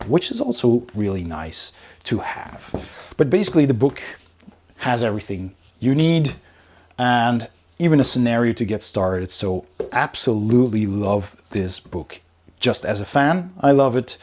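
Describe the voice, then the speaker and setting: A man's voice: medium pitch, a little mellow. A man hosting a review channel, talking casually and unscripted to viewers in an enthusiastic, emphatic way. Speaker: board game reviewer and channel host.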